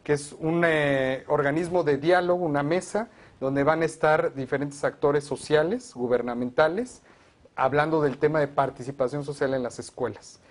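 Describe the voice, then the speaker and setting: A man, a guest advocate for education, explaining proposals in a measured way on a televised discussion. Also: fairly high pitch.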